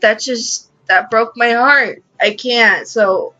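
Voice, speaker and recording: A woman's voice: fairly high pitch, slow, bright, forceful tone, dull audio, soft background music. A woman hosting a gaming channel, reading a visual novel aloud and adding emotional commentary. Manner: emotional, exclaiming reactions.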